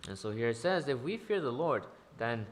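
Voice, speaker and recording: A man's voice: medium pitch, big pitch swings, normal speed. A man interpreting a sermon into English, hesitant, with frequent ums and uhs. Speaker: church interpreter.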